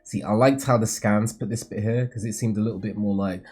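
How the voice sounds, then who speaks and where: Expressive man reacting over background music. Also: fairly low pitch, fairly quick, husky. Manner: expressive, slangy, emphatic. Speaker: host of a manga read-along channel. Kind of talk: manga read-along with excited fan commentary.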